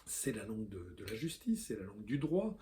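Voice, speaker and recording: Lively, mellow-voiced man explaining spelling. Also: lowish voice, fairly quick, a little hiss or hum. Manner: lively, lots of spoken fillers, spells out letters and example words. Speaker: language columnist and video host. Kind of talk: explanatory talk to camera.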